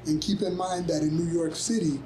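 A man panelist speaks into a handheld mic in a conference room. He explains in a measured, halting way, his voice sitting a little high. A faint hum lies under the speech. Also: fairly even intonation, dark tone. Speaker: panelist, community advocate. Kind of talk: panel discussion answer.

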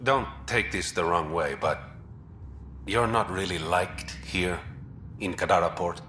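Smooth roguish spy from a video game, deep and bright, teasing, sure of himself. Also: male voice, unhurried, echoey room, a little hiss or hum. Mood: confiding. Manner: wry, teasing, self-assured. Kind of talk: video game character dialogue.

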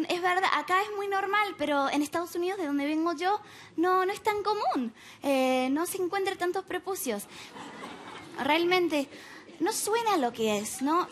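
A young American woman doing stand-up in Spanish with an Argentine-tinged accent, deadpan and ironic, on a TV comedy club stage at a stand mic. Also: extremely high pitch, slight background noise. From United States. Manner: deadpan, ironic asides with frequent tag questions. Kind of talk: stand-up routine.

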